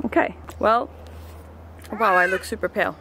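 Creaky-voiced Swedish woman vlogging. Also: medium pitch, normal speed, background noise. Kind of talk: casual vlog chat. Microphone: handheld camera.

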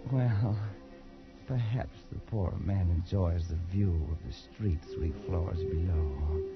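A man's voice: low-pitched, very slow, over loud music, heavily acted. An actor playing a tormented, cursed Englishman near madness in an old radio drama, agitated and feverish. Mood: brooding, reckless. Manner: agitated, feverish, swinging from brooding to frantic. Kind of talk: 1940s radio drama acting. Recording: muffled old radio recording.

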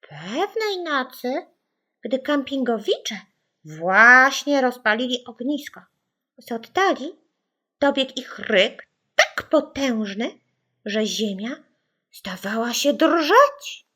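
A woman voicing an enigmatic young girl who tells spooky legends in a drawn-out, dramatic children's audiobook style. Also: sits high, big pitch swings, pushed and bright, voice pushed up, heavily acted. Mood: eerie, dramatic.

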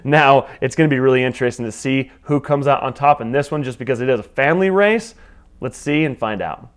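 A man's voice: mid-range voice, a little fast. A man in his thirties with an American accent, casually presenting a show as host from a small garage studio. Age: 30s. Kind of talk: casual scripted presenting to camera.

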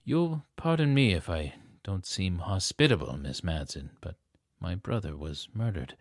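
A man playing a subdued, grieving wealthy gentleman in a noir radio drama. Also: sits low, sing-song, unhurried, no echo, studio quality, heavily acted. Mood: grieving, guarded.

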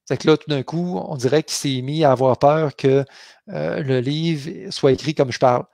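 A man's voice: a little high, normal speed, creaky, a little mellow, no echo, studio quality. A man in his forties with a Québécois accent from the Beauce, chatting casually in a live Q&A from his home office on a headset mic, with many fillers and restarts. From Quebec. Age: 40s. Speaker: author hosting a live Q&A.

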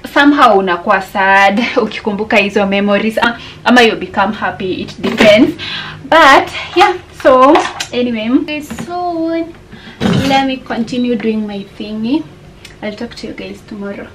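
A woman's voice: medium pitch, a bit bright, slight background noise, low-quality recording. A young woman with a Kenyan accent chatting casually to her viewers in a home vlog, slipping Swahili words into her English. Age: young adult. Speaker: vlogger. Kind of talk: casual vlog chat to camera. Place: small home room.

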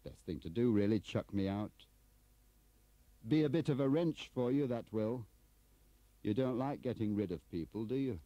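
A man's voice: rumbling low, expressive, normal speed, breathy edge, dry recording, muffled recording, heavily acted. A man voicing a blunt, bitter British investigator in an audiobook, with a sarcastic, needling manner. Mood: sardonic, goading.

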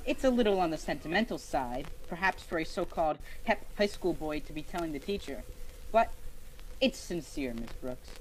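A woman playing an earnest, gushing, pompously wordy high school boy in an old-time radio comedy. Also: lively intonation, moderate pace, slight background noise, rough recording. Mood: earnest, sentimental. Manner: florid, over-earnest, hesitant.

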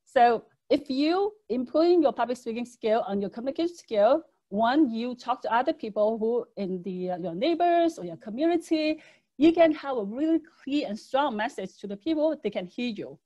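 Cantonese-native woman giving a webinar on public speaking, kinda high voice, earnest and chatty, webcam mic. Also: lively intonation, normal speed, dark tone. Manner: earnest, conversational, non-native phrasing with fillers. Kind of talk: webinar presentation over slides. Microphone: webcam microphone on video call.

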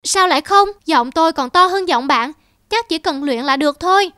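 A woman's voice: high-pitched, lively intonation, moderate pace, sharp tone, dry recording, clean studio sound. A woman voicing a lonely, naive donkey in a cartoon fable, plaintive and earnest. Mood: insistent. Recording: music under the voice.

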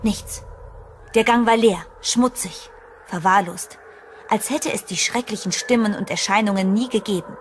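A woman's voice: mid-range voice, moderate pace, slight background noise, close to an everyday voice. A woman playing a frightened heroine who narrates a horror radio play in the first person. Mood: uneasy, resolved. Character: frightened heroine recounting her haunted escape.

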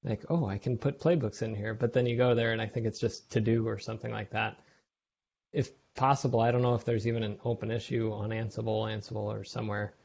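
Guy w low creaky voice giving casual feedback on a group call, kinda muffled. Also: fairly even intonation, quick pace, darkish tone, a little hiss or hum. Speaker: software contributor giving feedback. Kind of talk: casual meeting chat. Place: online video meeting. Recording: slightly dull online call audio.

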